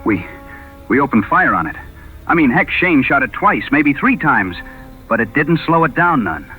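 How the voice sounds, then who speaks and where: An actor playing a shaken, wounded frontier wagon escort in an audio western, telling things in folksy, halting phrases. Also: male voice, medium pitch, sing-song, slightly rough, narrow, tinny audio, faint music behind. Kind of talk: dramatized audio western dialogue.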